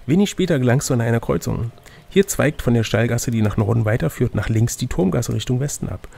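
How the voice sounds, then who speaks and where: A man with a raspy, slightly creaky voice acts as game master for an adventure gamebook. His pitch is of middle height, and he moves between reading aloud and casual banter. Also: lively intonation, fairly quick, dark tone.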